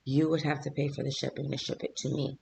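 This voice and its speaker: Chatty, hoarse man hosting a craft vlog, gravelly edge, talking straight to viewers over a faint hiss. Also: medium pitch, level tone, quick pace, darkish tone, poor audio. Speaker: small business owner and channel host.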